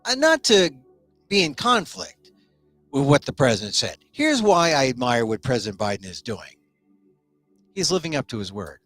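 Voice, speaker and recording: Animated chatty male talk-show host. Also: medium pitch, moderate pace, long pauses, no room sound, soft background music. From United States. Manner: animated, chatty, rambling with restarts. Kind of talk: casual solo talk show.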